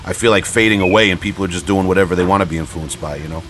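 A man hosting a podcast in casual conversation. His voice sits a bit low, and he rambles in loose slang, peppering it with "man" and "you know." There is slight noise on the recording. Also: very fast, a bit bright. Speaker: podcast host. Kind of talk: casual chat interview.

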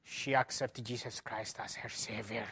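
A man's voice: mid-range voice, fairly even intonation, normal speed, pushed and bright, slightly rough. A man pastor from Ethiopia with an Ethiopian accent, giving an emphatic personal testimony as a conference talk in non-native English.

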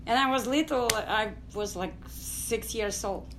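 Ukrainian woman, middle-aged, high voice, pauses and fillers, faint background noise. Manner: halting non-native English with many fillers. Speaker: guest, dance company founder. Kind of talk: storytelling interview.

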